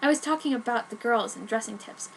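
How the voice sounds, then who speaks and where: A woman's voice: fairly high pitch, brisk, vocal fry, rough recording. A woman giving a dramatic reading of a fan fiction story. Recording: noticeable background noise.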